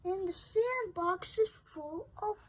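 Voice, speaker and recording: A woman's voice: extremely high pitch, takes it slowly, slight background noise. A young girl reading a children's picture book aloud expressively, acting out the sound effects with emphasis. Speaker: storybook reader.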